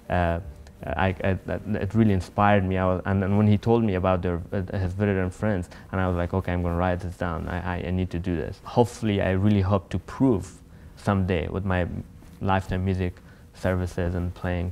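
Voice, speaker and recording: A young man from Afghanistan speaking in an interview, earnest and halting, often restarting his sentences. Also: low voice, a little mellow. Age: young adult. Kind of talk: sit-down interview. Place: empty concert hall stage.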